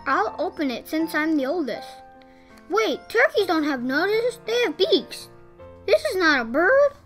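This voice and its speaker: A woman's voice: very high voice, sing-song, measured pace, a bit bright, faint music behind, full character voice. A woman playing an eager eldest child at Christmas in a radio play. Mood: excited.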